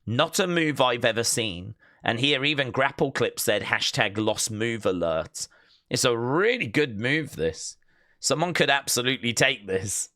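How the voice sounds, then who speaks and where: Bright-voiced man, close and dry. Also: medium pitch, animated, a little slow, dry recording. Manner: chatty, informal, explains clips step by step. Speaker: host of a wrestling news show. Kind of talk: casual news commentary and clip reactions.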